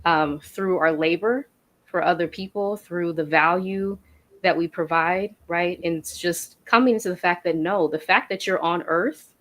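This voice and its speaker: A woman hosting a podcast interview, responding to her guest in an affirming, measured way. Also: lowish voice, fairly bright tone. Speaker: podcast host. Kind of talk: interview conversation.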